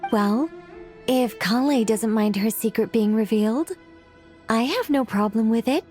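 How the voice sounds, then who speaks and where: A woman playing a calm, knowing, informal guide in a video game, speaking frankly and reassuringly. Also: a little high, unhurried, soft background music, natural voice.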